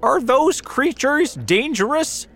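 A man voicing a bossy, alarmed police officer in a storybook, shouting commands. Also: very high-pitched, lively intonation, slow pace, pitched above the performer's own voice, heavily acted.

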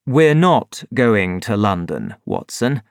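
A reader voicing a composed male detective who explains and gives orders in measured tones. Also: fairly low pitch, gravelly, clear, resonant tone, no echo, clean studio sound, exaggerated voice. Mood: calm. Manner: calm, measured, authoritative. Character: calm, commanding male detective.

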